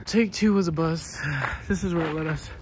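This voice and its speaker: A young man vlogging a hike into his phone outdoors, excitable and laughing often as he talks to the camera. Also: on the high side, normal speed, heavy background noise. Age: young adult. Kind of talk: casual hiking vlog. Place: outdoors on a hillside trail. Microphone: phone held at arm's length.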